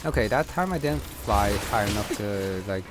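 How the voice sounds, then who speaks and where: A man's voice: fairly low pitch, expressive, normal speed. A man giving casual, unscripted gameplay commentary, musing aloud to himself as he plays. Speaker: gamer, streamer. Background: game music.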